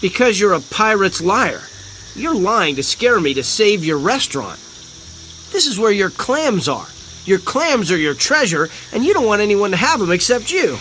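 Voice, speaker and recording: An actor playing an earnest documentary filmmaker, hesitant and excitable, in an audio drama. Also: male voice, high voice, normal speed, slight background noise, full character voice. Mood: indignant. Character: earnest, easily flustered male documentary filmmaker. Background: faint crickets and insects.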